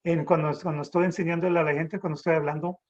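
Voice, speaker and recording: A middle-aged man from the Texas border teaches the Bible in a loose, halting way, circling back with restarts and asking his listeners "right?". His voice is husky and sits a little high. The dull, lo-fi audio comes through a video call. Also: little pitch movement, a little mellow. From Texas border, raised in San Antonio. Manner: halting, many restarts and rhetorical "¿verdad?".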